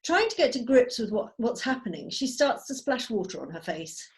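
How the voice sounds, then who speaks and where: A woman's voice: a little high, sing-song, moderate pace. A woman narrating scripted stage directions at a drama table read held over a video call.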